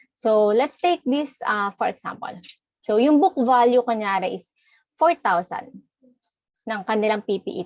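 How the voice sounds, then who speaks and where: Higher-pitched Filipino woman giving a lesson. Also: a little mellow, no room sound. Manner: switches between Tagalog and English. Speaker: accounting lecturer. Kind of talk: informal lecture explaining worked examples.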